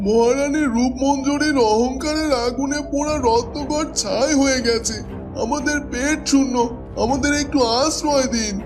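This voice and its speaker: A man voices a defeated cartoon minister begging for shelter. His voice is unusually high for a man, solemn and pleading, over prominent music. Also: fairly even intonation, moderate pace. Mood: humble, pleading.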